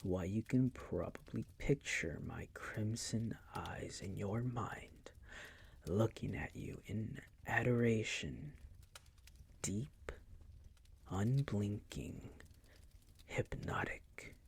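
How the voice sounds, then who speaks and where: A man acts out a seductive vampire master in an intimate roleplay audio. His low voice is husky and gravelly, slipping often into whispers. He coaxes slowly and condescendingly, predatory yet doting and possessive. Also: expressive, exaggerated voice. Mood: commanding, hypnotic. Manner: slow, teasing, condescending coaxing.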